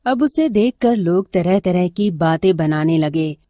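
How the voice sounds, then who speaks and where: Female storyteller for dramatic tales: unhurried, soft dark tone, dry close recording. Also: on the low side, lively intonation, no echo. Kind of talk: scripted dramatic story narration.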